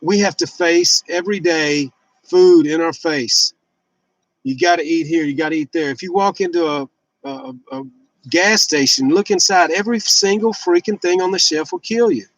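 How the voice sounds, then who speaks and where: A man's voice: fairly high pitch, animated, moderate pace, fairly bright tone, dry recording, rough recording. A man in his fifties talking casually and candidly in a rambling, blunt, confessional personal vlog. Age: 50s. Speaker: vlogger sharing fasting and fitness journey.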